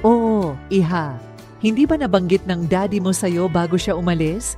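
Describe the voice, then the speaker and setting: A woman voicing a kindly, patient older female housekeeper in an audio drama, speaking in a gentle, coaxing way. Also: lowish voice, normal speed, soft background music, lightly acted. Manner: gentle, coaxing, calls the girl "iha". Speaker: voice actor.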